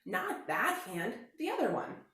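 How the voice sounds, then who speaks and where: A woman voicing an excitable young male bear cub who squeals and whoops, close to tears when upset. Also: mid-range voice, unhurried, far from the mic. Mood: excited. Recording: very echoey room.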